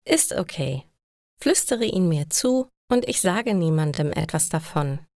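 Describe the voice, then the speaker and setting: A woman's voice: on the low side, big pitch swings, measured pace, vocal fry, darkish tone, no room sound, studio quality, exaggerated voice. A woman voicing an affectionate, cheerful wife in a storybook, coaxing and carefully enunciated. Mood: playful, tender.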